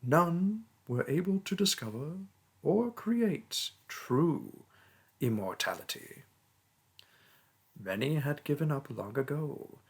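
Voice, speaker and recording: A man playing a vain, haughty mage king in a theatrical audio roleplay, speaking with condescending pomp and a breathy voice. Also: fairly high pitch, expressive, slow pace, heavily acted. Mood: grand, storytelling. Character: pompous, vain mage king, haughty toward his peasant subject. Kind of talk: theatrical roleplay monologue and storytelling.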